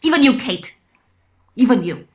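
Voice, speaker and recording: A woman's voice: fairly high pitch, animated, normal speed, lightly acted. A woman playing a devoted, motherly older servant with a solemn, intense and menacing edge in an audio drama. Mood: fierce threat.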